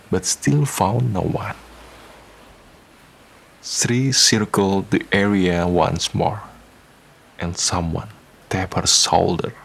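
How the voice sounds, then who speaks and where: Deep, slow, gravelly male narrator. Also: fairly bright tone. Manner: measured storytelling. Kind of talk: scripted radio drama narration. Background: faint music.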